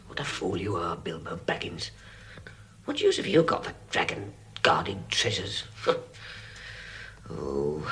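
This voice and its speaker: An actor playing a fussy, nervous but plucky middle-aged hobbit in a vintage radio drama, polite and easily flustered. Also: male voice, on the low side, gravelly, fairly bright tone, heavily acted. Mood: anxious muttering. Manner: flustered, indignant, polite, hesitant. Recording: faintly noisy old radio recording.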